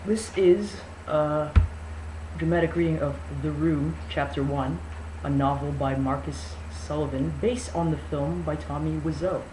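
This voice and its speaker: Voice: medium pitch, steady tone, unhurried. A narrator giving a mock-dramatic amateur reading of a novel, with casual introductory talk. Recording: echoey room with faint noise.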